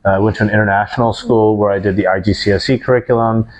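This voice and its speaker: A man in his forties with a lowish voice speaks as a podcast guest. He talks like an educator, thoughtful and measured. He weighs each point before moving on. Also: steady tone, low-quality recording. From United States. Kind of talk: casual podcast interview.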